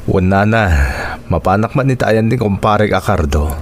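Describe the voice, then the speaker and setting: A man's voice: fairly low pitch, lively intonation, moderate pace. A man playing a humble, troubled father left alone with his children, with an Ilocano accent, in a radio drama.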